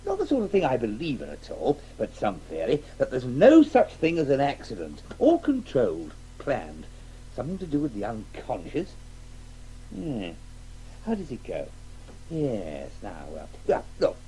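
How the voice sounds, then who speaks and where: A man's voice: medium pitch, wildly swooping pitch, moderate pace, dark tone. An actor playing a chatty, golf-mad father with an English accent in a 1980s radio drama, blustery and digressive, with "eh" and "what" tags. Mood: jovial, rambling. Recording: faintly noisy old radio broadcast.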